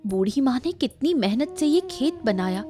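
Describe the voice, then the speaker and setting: A woman voices a sympathetic village girl in a Hindi cartoon. Her voice is high and full of concern, over soft background music. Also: big pitch swings, normal speed. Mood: worried. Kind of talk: Hindi cartoon story dialogue.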